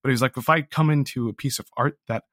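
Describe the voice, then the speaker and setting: A man speaking as an interview guest about his writing, earnest and self-deprecating, in a reflective, casual and halting way. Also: medium pitch, fairly bright tone, dry recording, clean studio sound. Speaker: interview guest, audio drama writer.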